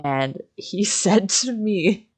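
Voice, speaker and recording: A young woman in a casual livestream chat, telling stories in an animated way and acting out quoted conversations. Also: medium pitch, very slow. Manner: animated storytelling, quoting conversations.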